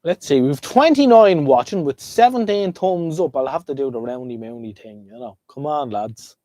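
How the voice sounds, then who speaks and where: A man's voice: a little high, animated, normal speed, dark tone, dry recording, studio quality. Sniffly Irish man chatting live. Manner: chatty, rambling, talks to viewers. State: slight head cold, sniffly. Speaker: livestream host. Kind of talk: casual livestream chat. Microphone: desk microphone.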